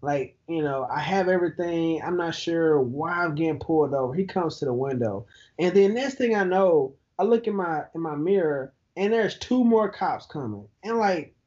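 Man, high-pitched voice, chatty and animated, dull lo-fi recording. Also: normal speed. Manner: animated storyteller who laughs easily. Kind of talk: casual podcast chat.

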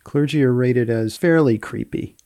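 A man hosting a podcast in a conversational way, measured and deadpan as he presents and questions. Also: medium pitch, no echo, studio quality. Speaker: podcast host, magazine editor. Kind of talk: conversational interviewing and show presenting.

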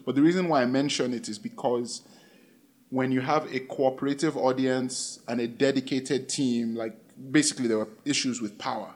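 A man's voice: mid-range voice, steady tone, normal speed. Hesitant man chatting as podcast co-host. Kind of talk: casual conversational chat.

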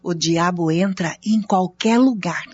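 Actress doing superstitious wife, radio play, breathy and animated, dull recording. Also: female voice, lowish voice, measured pace, faint music behind. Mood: chiding. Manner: chiding, defensive. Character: devout wife, skeptical and superstitious.

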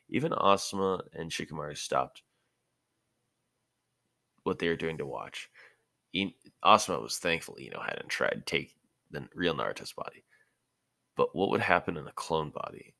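A man's voice: rumbling low, creaky, sharp tone, no echo, studio quality. A man narrating fan fiction as an amateur audiobook, sometimes stumbling and rereading phrases.

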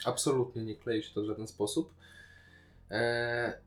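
A man talks casually as a podcast co-host. His voice sits at a medium pitch and his delivery is colloquial and full of opinion. Faint guitar music plays underneath. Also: flat delivery, unhurried. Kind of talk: casual discussion of a TV series.

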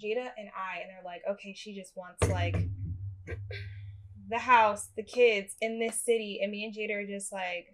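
A young woman giving blunt dating advice in casual chat. Her delivery is animated, jumping from loud emphasis to quiet asides. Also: medium pitch, moderate pace. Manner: blunt, animated, big swings in loudness. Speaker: podcast co-host.

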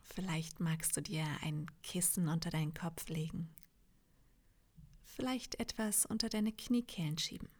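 A woman leading a guided meditation in a soft, half-whispered, soothing voice, leaving long pauses between phrases. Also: lowish voice. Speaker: yoga nidra meditation guide.

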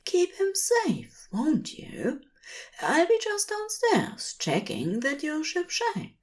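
A woman voicing a fussy, tender, anxious gentleman in a storybook reading, with polite, formal, hesitant speech. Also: high-pitched, huge pitch swings, slow, pitched above the performer's own voice, exaggerated voice. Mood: soft, protective.

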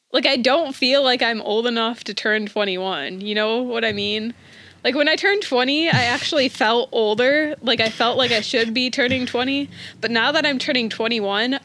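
A young woman in her twenties hosts a podcast, talking in a loose, rambling way full of fillers. Her voice sits a little high. The recording is dry and close, with no room sound. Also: fairly bright tone, no room sound. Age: 20s. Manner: chatty, rambling with many "like"s. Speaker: podcast host. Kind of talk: casual chat.

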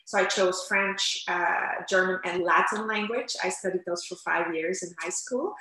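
A woman raised in the Netherlands, answering interview questions in an animated, storytelling way with frequent fillers. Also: lowish voice, normal speed. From Turkey, raised in the Netherlands. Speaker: interpreter and language coach guest. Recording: echoey room.

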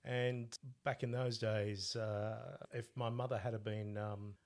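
A middle-aged man born in Italy, with an Australian accent, co-hosting a podcast and chatting casually as a relaxed storyteller. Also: fairly low pitch, slow. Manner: relaxed storyteller, laughs at own stories. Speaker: podcast co-host, coach. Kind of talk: casual conversational chat.

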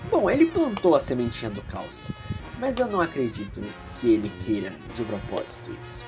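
A man with a Brazilian accent narrating an audio drama in a theatrical, confiding way with chatty asides. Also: mid-range voice.

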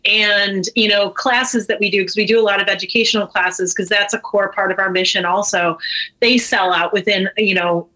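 A woman's voice: mid-range voice, fairly even intonation, moderate pace, sharp tone, low-quality recording. A woman talking candidly and informally as a guest in a casual interview. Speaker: guest, brewery co-owner.

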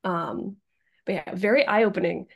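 A woman's voice: mid-range voice, unhurried, gravelly. A young woman from Massachusetts, a guest talking casually in an interview, chatty with many ums and likes. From Massachusetts, Cape Cod. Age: young adult.

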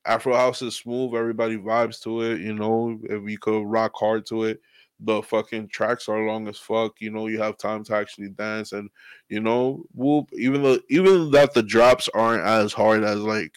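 Low smooth-voiced man, casual and profane. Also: fairly even intonation, moderate pace, no echo. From New York. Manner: laid-back, profane, rambling with "you know". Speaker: podcast guest, DJ. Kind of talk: casual podcast chat.

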